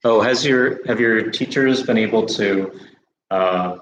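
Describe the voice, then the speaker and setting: Brief: American man, lowish voice, relaxed livestream host, echoey room. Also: slow pace, slight background noise. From United States. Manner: relaxed, many fillers and restarts. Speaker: host of a study-abroad livestream. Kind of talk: casual live Q&A chat.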